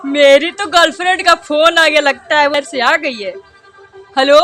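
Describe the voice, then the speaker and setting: A woman's voice: high voice, normal speed, pushed and bright, soft background music. A woman playing a fickle, boastful village boyfriend who keeps making excuses. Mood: pleased.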